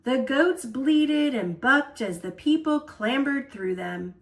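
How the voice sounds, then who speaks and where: A woman storyteller reading a children's picture book aloud in an expressive style. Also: high-pitched, takes it slowly.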